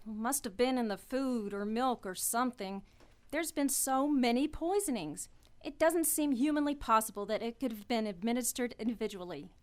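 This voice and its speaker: A woman's voice: fairly high pitch, moderate pace, lightly acted. A woman playing a worried, loyal companion. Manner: earnest and worried. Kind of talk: radio drama acting.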